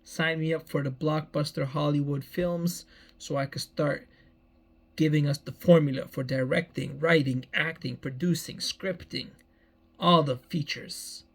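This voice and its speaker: A man's voice: a little high, fairly even intonation, measured pace. A man in his early thirties giving a meandering personal life update, often repeating himself and restarting phrases. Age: 30s. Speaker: vlogger.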